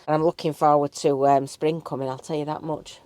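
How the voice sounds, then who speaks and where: A young woman with a low voice talks on a travel vlog while nursing a cold. She rambles along chattily, filling gaps with ums. The sound is close and dry, with no room around it. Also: flat delivery, brisk, a little mellow, no room sound. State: has a cold. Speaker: vlogger. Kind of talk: casual travel vlog chat.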